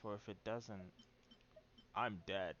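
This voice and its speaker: A man's voice: on the low side, fairly even intonation, slow pace, highs cut off, noisy background. A man doing casual livestream game commentary, thinking aloud in an anxious, candid way. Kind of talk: casual gameplay commentary to stream viewers.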